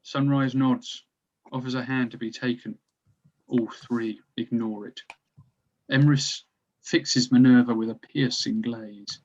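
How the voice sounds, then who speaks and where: A man's voice: mid-range voice, steady tone, slow, a little mellow. A man narrating scripted stage directions at a table read. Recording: slightly dull, lo-fi recording.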